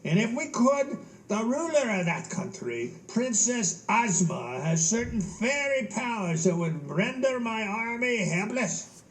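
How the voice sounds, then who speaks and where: A man voicing a cool, blunt male gnome general who calmly lectures his king in a storybook reading. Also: high voice, a little slow, reverberant, pitched above the performer's own voice, low-quality recording. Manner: dry, matter-of-fact.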